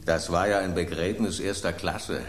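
A man voicing a gruff, scornful loaf of bread. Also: low-pitched, fairly even intonation, moderate pace, slight background noise, lightly acted. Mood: disdainful. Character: grumpy male loaf of house bread, blunt and scornful. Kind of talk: radio drama acting. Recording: roomy studio echo.

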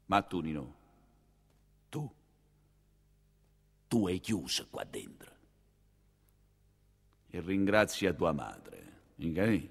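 A haughty, vindictive prelate of papal Rome on stage. The voice drops low and draws out its words with solemn, cold menace, scolding from on high. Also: male voice, very animated, vocal fry, husky, long reverb tail, exaggerated voice. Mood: menacing. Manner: slow, solemn, scolding, drawn-out delivery. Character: pompous, vindictive high-ranking Roman churchman, cold and menacing. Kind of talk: theatrical monologue.

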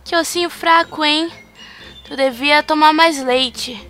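A woman's voice: high-pitched, steady tone, takes it slowly, bright, forceful tone, heavily acted. A woman playing a mocking villainess gloating over her victim in an amateur audio drama.

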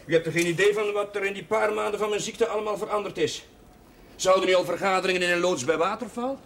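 A man playing a warm, talkative and idealistic young village chaplain in a television drama, hesitant and musing but easily worked up. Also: high-pitched, fast. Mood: indignant, fervent. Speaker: actor in a television drama series. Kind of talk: naturalistic television drama dialogue. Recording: noisy old TV soundtrack, clattering dishes.

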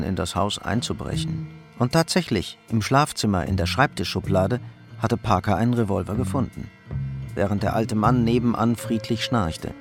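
A man narrating a crime radio play in a scripted, storytelling delivery. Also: mid-range voice.

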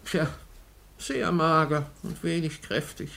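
An actor playing a sceptical, penny-pinching undertaker, formal and grudging, in 1950s German radio drama style. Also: male voice, on the high side, slow, gravelly, bright, forceful tone, heavily acted.

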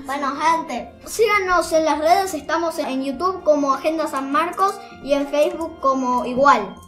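A woman's voice: high voice, unhurried, music in the background. A woman host wrapping up a show in a playful, casual way, thanking listeners. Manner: playful, laughing, talking over co-hosts. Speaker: program host. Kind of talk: casual sign-off.

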